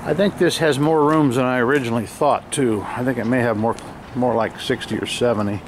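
A man of medium pitch narrates casually as he walks around outdoors with a handheld camera. His commentary is musing and observational, made up on the spot. Clear ambient noise sits behind his voice. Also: fairly even intonation, a little fast. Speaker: vlogger filming an exploration of an abandoned motel. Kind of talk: casual off-the-cuff walking narration. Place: outdoors, walking around a derelict motel lot. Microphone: handheld camera microphone, operator behind camera.

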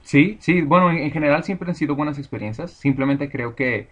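A man's voice: fairly high pitch, steady tone, brisk, breathy edge, highs cut off. A Mexican man being interviewed, speaking Spanish with a Mexican accent in a thoughtful, hesitant way, often restarting his words. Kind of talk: casual conversation.

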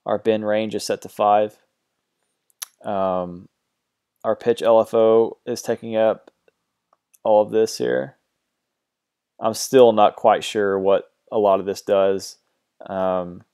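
A man talking through a software tutorial in a relaxed, hesitant way, with many ums and uhs as he describes settings. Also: fairly low pitch, takes it slowly, long pauses, clean tone. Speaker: music production tutor. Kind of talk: screen-recorded software tutorial.

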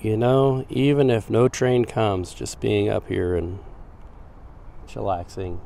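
A man casually narrating as he walks through city streets, pointing out sights in a relaxed, chatty way. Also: fairly low pitch, takes it slowly, vocal fry. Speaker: vlogger on a city walk. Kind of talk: casual walking tour commentary. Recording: outdoor street noise on camera mic. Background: traffic and city noise.

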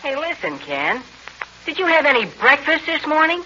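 Very high-voiced, eager American boy. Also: very animated, normal speed, bright, forceful tone, full character voice. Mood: earnest persuading. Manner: exclamatory, slangy. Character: eager, boisterous American boy, hockey-mad pal. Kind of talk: 1940s radio acting. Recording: muffled noisy 1940s radio broadcast.

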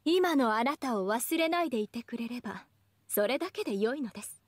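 A haughty anime game goddess, speaks in a high, young, elegant voice. Her formal phrasing swoops with proud, teasing expression, recorded very dry. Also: animated, a little fast, dry recording. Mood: intrigued, amused. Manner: refined, formal ladylike speech. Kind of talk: scripted anime game voice acting.

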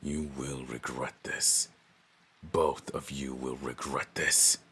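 A man's voice: rumbling low, level tone, takes it slowly, bright, forceful tone, husky, full character voice. A man playing an arrogant, domineering orc who would be chief, speaking with pompous menace in a roleplay audio drama. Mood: outraged.

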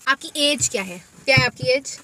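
A bright, somewhat high-pitched woman in her twenties talks casually and giggles between questions. She is recorded close in a parked car, through a camera phone on the dashboard. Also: normal speed, poor audio. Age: 20s. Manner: chatty, giggly, reads out questions. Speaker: vlogger. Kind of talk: casual Q&A chat.

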